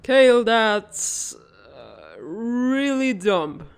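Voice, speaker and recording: A woman voicing a wry, level-headed older sister in a comic dub, calmly teasing and gently scolding. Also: on the high side, very slow, clean tone, full character voice. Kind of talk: animated comic-dub voice acting.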